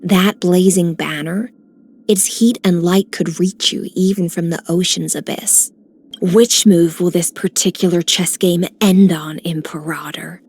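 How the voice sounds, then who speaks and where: An actress playing an elegant, worldly game character with a languid, poetic delivery. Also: female voice, on the low side, fairly even intonation, a little slow, a little mellow, breathy edge. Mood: admiring. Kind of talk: scripted game character lines.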